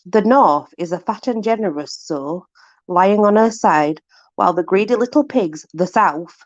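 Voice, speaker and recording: A woman's voice: on the low side, animated, unhurried, dry recording, muffled recording. A woman with a Yorkshire accent, reading aloud in a casual, chatty way with informal, exclamatory asides to a companion. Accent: Yorkshire English. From Yorkshire, England. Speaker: host reading aloud from a book.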